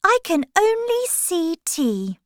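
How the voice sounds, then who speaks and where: Woman voicing high-pitched, puzzled storybook girl. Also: sing-song, takes it slowly, dry recording, studio quality, heavily acted. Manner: careful, clearly spaced words. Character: polite but indignant, puzzled young girl Alice. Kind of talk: slow children's storybook character voice.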